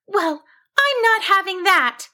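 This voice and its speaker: A woman's voice: extremely high pitch, expressive, normal speed, sharp tone, voice pushed up, full character voice. A woman voicing a small, earnest and anxious young female sheep in a storybook tale. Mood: firm, determined. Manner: earnest, nervous, stammering when flustered.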